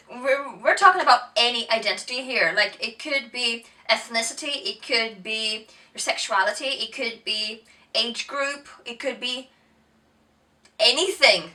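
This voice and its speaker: Bright-voiced woman with a blended accent. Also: high voice, recorded from a distance. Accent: mixed Brazilian, American, English and Northern Irish. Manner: chatty, giggly, many fillers and self-corrections. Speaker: book vlogger. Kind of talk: casual chat to camera.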